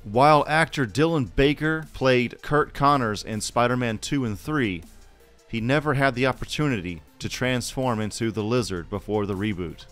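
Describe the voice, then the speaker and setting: Smooth, clear-voiced man narrating. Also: medium pitch, normal speed, fairly bright tone, faint music behind. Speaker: podcast co-host. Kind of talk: scripted narration.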